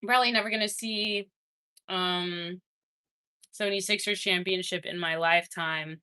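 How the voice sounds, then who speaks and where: A young adult woman streaming, casually chatting with her viewers and reading their messages, laughing often and swinging between excited and quiet. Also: lowish voice, normal speed, clean tone. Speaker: livestreamer reading chat. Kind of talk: casual livestream chat.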